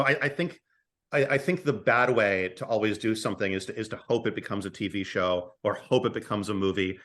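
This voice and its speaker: A man's voice: medium pitch, a bit bright. Male, late thirties, mid-range voice, casual interviewee explaining his craft. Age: late 30s. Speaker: podcast guest, audio drama writer.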